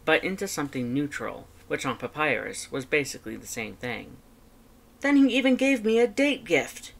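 A woman's voice: a bass voice, creaky, a bit bright, a little hiss or hum. A woman reading scripted fan fiction narration as an audiobook narrator.

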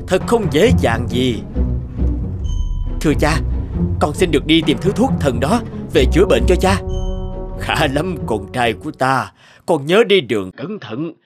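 A man voicing royal court figures in an animated fairy tale, speaking formally and theatrically. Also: a little high. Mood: earnest, stately.

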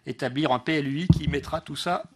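A man giving a political speech as a local councillor, halting often and correcting himself as he goes. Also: on the high side, fairly bright tone, slightly rough. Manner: hesitant delivery, frequent pauses and self-corrections. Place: council meeting room.

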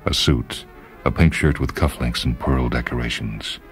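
Extremely deep-voiced male storyteller. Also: moderate pace. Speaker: story reader. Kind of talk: dramatic literary narration. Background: music.

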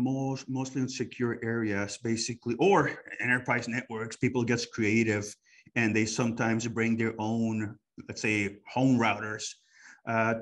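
A man with a mid-pitched voice talks through a technical product demonstration. He explains things off the cuff and conversationally, like an expert walking someone through each step.

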